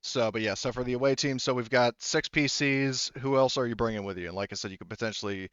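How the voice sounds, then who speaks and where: A man acting as game master in casual talk, thinking aloud haltingly and swearing bluntly. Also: medium pitch, a bit bright, clean tone, no room sound, highs cut off. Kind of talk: running a tabletop roleplaying game, casual talk.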